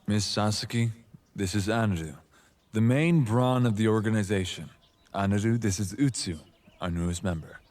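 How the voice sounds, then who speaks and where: A man playing a courteous, formal leader of a secret organization in an audio drama, speaking in a measured, polite way. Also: fairly low pitch, a little mellow, lightly acted.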